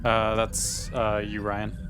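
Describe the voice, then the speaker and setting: A man's voice: lowish voice, monotone, slow, background noise. A man talking casually as a player in a tabletop role-playing game.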